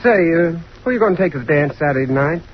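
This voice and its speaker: A dull, faintly noisy old radio recording carries a man acting as a genial young fraternity brother. His voice sits fairly high and moves around a lot in pitch. He talks in breezy college slang with smooth, glib ease, in the manner of vintage radio drama. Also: animated, fast, close to an everyday voice. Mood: breezy, teasing.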